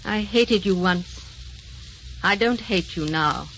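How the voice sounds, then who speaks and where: An actress playing a proud, wronged and resigned wife in an old-time radio drama, formal and restrained. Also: female voice, fairly low pitch, slow, fairly bright tone, soft background music. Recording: muffled, noisy old radio recording.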